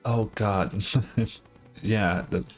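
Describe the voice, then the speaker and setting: A man's voice: on the low side, steady tone, extremely slow. A young man giving a casual live reaction as a gaming streamer. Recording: game music behind the voice. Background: video game music.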